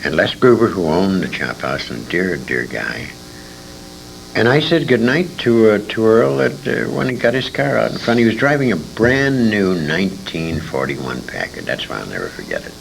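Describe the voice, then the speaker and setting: A man's voice: deep voice, sing-song, moderate pace, vocal fry. An older man reminiscing in an interview, an emphatic and opinionated storyteller who speaks with feeling. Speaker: interview guest, former radio performer. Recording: noisy amateur convention interview tape.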